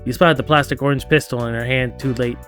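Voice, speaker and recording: A man's voice: medium pitch, fairly even intonation, fast, fairly bright tone, soft background music. A man streaming a visual novel, reading the game's text aloud with casual asides and playfully acting out the characters' lines.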